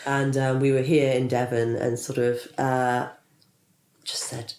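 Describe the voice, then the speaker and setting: A woman's voice: a bass voice, flat delivery, unhurried, a little mellow, slight background noise. A woman in her forties, a PR consultant and interview guest, chatting casually and rambling with many restarts. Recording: echoey room.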